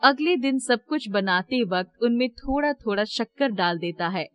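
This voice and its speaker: High-voiced woman storyteller. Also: animated, normal speed. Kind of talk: scripted narration of a children's moral story.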